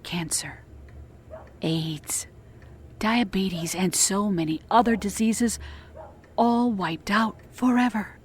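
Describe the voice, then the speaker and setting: A woman playing an earnest, hopeful farmer's wife in an audio drama, speaking in an unhurried way. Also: medium pitch, a little hiss or hum, natural voice. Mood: pleading, hopeful.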